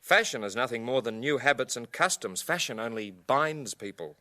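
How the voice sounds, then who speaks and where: A man's voice: medium pitch, animated, moderate pace, pushed and bright. An actor in a 1980 radio drama playing a stern village man with a blunt, lecturing manner. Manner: blunt, didactic.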